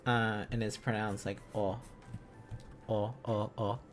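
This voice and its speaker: Slow-paced man rambling casually over music. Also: on the low side, flat delivery. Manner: hesitant, rambling, playful. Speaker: live streamer. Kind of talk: casual live-stream lesson, thinking aloud.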